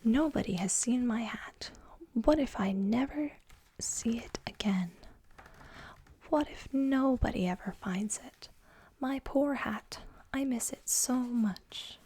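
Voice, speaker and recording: A woman voicing a glum bear searching for his lost hat, whispered softly as in a storybook reading. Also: fairly high pitch, slow, husky. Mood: forlorn.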